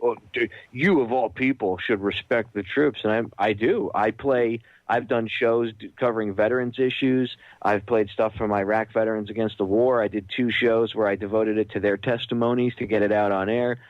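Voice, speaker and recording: A man's voice: lowish voice, fairly even intonation, normal speed, hardly any pauses, no room sound. A man hosting a radio interview, talking conversationally in an earnest, opinionated manner. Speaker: radio show host, interviewer. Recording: dull, band-limited radio interview audio.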